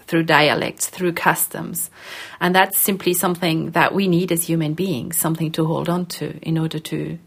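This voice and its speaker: Woman, researcher interviewee, somewhat low voice, thoughtful, explanatory, repeats phrases while talking. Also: slightly rough.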